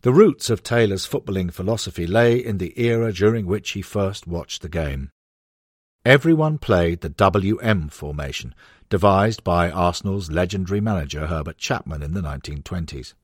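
A man's voice: low-pitched, lively intonation, normal speed, no echo, studio quality. A man narrating a non-fiction sports history book in a scripted audiobook reading.

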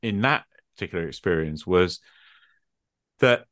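A man's voice: sits low, animated, normal speed, fairly bright tone, no room sound, studio quality. A man in an unscripted discussion, explaining his thoughts reflectively as a game master and often checking in with rhetorical questions.

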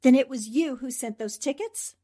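A woman's voice: high-pitched, moderate pace, warm tone. An actress playing a defiant, wry female newspaper reporter under threat in a 1940s-style radio drama. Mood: defiant alarm.

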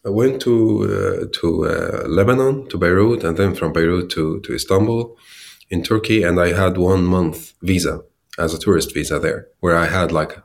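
Very low voice, man from syria, founder, halting with many fillers, thirties. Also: creaky. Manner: hesitant, many fillers and restarts. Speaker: startup founder and CEO. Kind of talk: interview answers.

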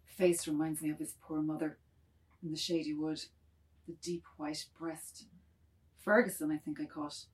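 A woman's voice: deep voice, fairly even intonation, moderate pace, darkish tone, close to an everyday voice. A woman playing a kindly, anxious middle-aged man in a dramatic table reading, speaking in clipped, musing fragments. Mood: musing, tender.

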